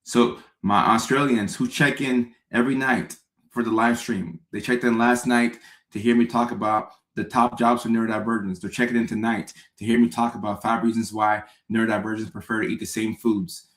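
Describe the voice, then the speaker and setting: A man's voice: lowish voice, fairly even intonation, moderate pace, a bit bright. A man from Ghana, a comedian, chatting casually with live-stream viewers, greeting them by name and often repeating himself. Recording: echoey room.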